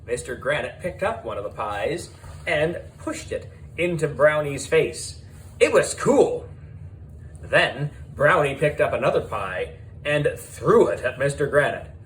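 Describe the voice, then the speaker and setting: Animated male children's audiobook narrator. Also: a little high, a little slow, slight background noise, rough recording.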